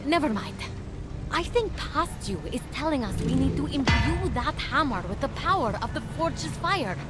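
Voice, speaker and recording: A woman's voice: high voice, expressive, normal speed. A woman playing an earnest, plucky young mortal heroine in a video game, speaking in a conversational, explanatory way. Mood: curious, determined. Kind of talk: video game dialogue acting. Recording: music under voice. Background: game score.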